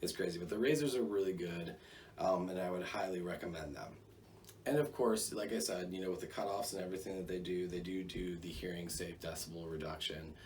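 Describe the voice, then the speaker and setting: An American man with a low voice talks through a gear review in a casual, rambling way. He sounds conversational and drops in joking asides. The mic picks him up from a distance, with faint noise behind him. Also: steady tone, fairly quick, a little mellow. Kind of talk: casual product review to camera.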